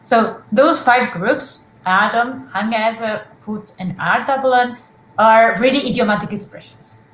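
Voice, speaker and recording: A woman's voice: fairly high pitch, unhurried, fairly bright tone, a little hiss or hum. Woman giving an academic talk, echoey. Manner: explanatory, with frequent fillers and restarts. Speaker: researcher presenting. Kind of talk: academic conference presentation.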